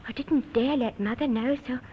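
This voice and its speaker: A woman's voice: high voice, moderate pace, natural voice. A woman playing a small, frightened, earnest young girl in an old radio drama. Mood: anxious, pleading. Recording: muffled, noisy old radio recording.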